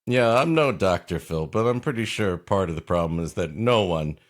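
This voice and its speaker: A man's voice: sits low, expressive, normal speed. A man co-hosting a comedy podcast, riffing in casual, wry commentary. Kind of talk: casual comic commentary and banter.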